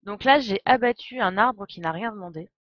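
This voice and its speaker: A woman commentates a game live in a casual, playful way. Her voice sits at a medium pitch and she drifts into chatty asides. The sound is very dry and a little dull, like a close home stream. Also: moderate pace, no echo.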